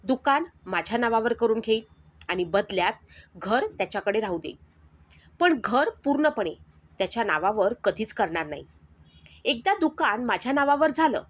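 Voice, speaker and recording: A woman voicing a greedy scheming brother. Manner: persuasive, plotting in a low voice.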